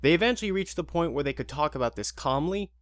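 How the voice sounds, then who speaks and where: A man's voice: a little high, animated, fast talker. A man narrating a scripted audio drama in an informal, wry, conversational way.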